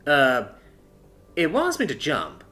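A man's voice: fairly high pitch, huge pitch swings, takes it slowly, bright, forceful tone, faint music behind, exaggerated voice. A man voicing an awkward, good-natured male space trucker who talks hesitantly with lots of fillers.

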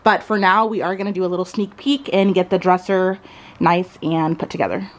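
A woman's voice: fairly low pitch, steady tone, brisk. Chatty, enthusiastic woman vlogging. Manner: enthusiastic, gushing. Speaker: lifestyle vlogger. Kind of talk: casual chatty vlog. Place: furnished bedroom at home, indoors.

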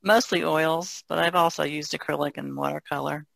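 A woman's voice: deep voice, steady tone, normal speed, gravelly, a bit bright, no echo. A woman from the Chesapeake Bay region chatting casually and easily as a painter. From Chesapeake Bay region, United States. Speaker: painter being interviewed. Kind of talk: casual chat.

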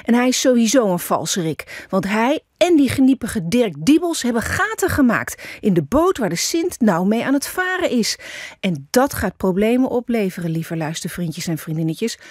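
A woman's voice: a little high, sing-song, normal speed, a little mellow, dry recording, clean studio sound. A woman narrating a scripted children's story, addressing young listeners playfully.

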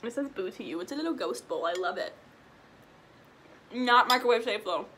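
A woman's voice: mid-range voice, expressive, normal speed, pushed and bright, a little hiss or hum. A twenty-year-old woman from Illinois chatting casually and eating while she answers viewer questions, with lots of "like" and "um". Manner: chatty, eats while talking, frequent "like" and "um". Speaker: vlogger. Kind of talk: casual mukbang Q&A chat. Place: small room indoors at home.

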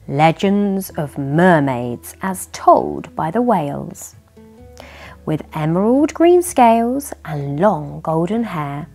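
Expressive British woman reading a children's story. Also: lowish voice, gravelly, husky. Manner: animated, addressing young listeners. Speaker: children's story reader and host. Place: furnished living room by a fireplace.

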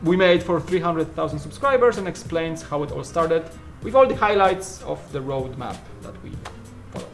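A man's voice: a little high, animated, moderate pace, creaky, soft background music. A man in his thirties from Poland with a Polish accent, co-hosting a casual studio Q&A and joking as he answers viewer questions. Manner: joking, trails off mid-sentence. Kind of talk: casual Q&A chat. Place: large studio room full of shelves.

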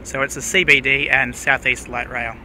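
A man's voice: mid-range voice, moderate pace. Man narrating outdoors over traffic. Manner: relaxed, hesitant with fillers. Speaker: urban explorer vlogger. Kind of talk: casual walking narration. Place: outdoors beside a busy road. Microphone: handheld camera mic, speaker off screen. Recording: outdoor camera audio with clear traffic noise.

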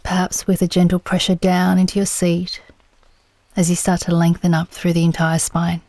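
Mellow, low-pitched woman guiding meditation. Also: completely flat delivery, no room sound. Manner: gentle, soothing, unhurried with long pauses. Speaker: meditation guide. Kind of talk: guided meditation.

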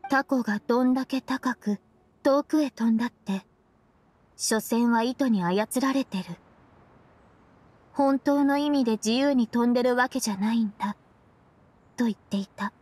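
A solemn, aloof young heroine from a fantasy game, acted by a woman. Her voice is fairly high yet husky, and she speaks with reserved, literal earnestness. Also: normal speed, pauses often, darkish tone, no room sound, close to an everyday voice. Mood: puzzled, grave. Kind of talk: restrained game voice acting.

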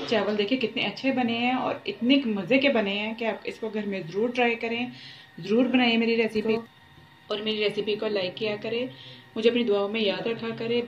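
A woman hosting a home cooking channel, talking through each step of a recipe at the stove in an unhurried, repetitive way. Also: fairly high pitch, muffled recording. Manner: unhurried, repeats each step as she works. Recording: echoey kitchen with sizzling noise. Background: frying and sizzling from the pot.

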